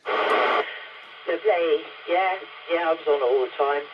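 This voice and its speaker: A woman's voice: sits low, slow pace. A woman, chatting in a plain, colloquial way over CB radio. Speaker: CB radio operator. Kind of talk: casual radio chat. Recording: dull, very noisy CB radio link.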